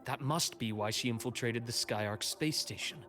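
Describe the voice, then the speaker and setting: A man acts out a shrewd, unflappable investigator in a video game. He speaks with deliberate, formal phrasing and a calm analytical temper, at a middling pitch, with faint music behind him. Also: close to an everyday voice. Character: composed, shrewd male investigator, calmly analytical. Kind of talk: scripted video game voice acting.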